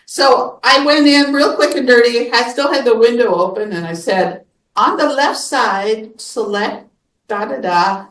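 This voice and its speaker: A woman's voice: fairly high pitch, unhurried, fairly bright tone. Chatty woman, echoey distant meeting room. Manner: chatty, rambling storytelling with restarts. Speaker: club member presenting. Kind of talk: casual meeting chat. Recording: echoey room, far from the mic.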